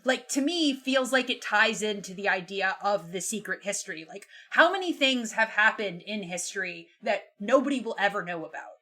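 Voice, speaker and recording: A woman on a podcast panel discussing books casually, thinking aloud in an animated way. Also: mid-range voice, moderate pace, few pauses.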